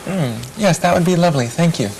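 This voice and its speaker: Woman, very low voice, scripted practice dialogue, hesitant pauses, faint hiss. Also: animated, darkish tone, low-quality recording. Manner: hesitant, humming before answers. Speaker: dialogue reader playing a customer.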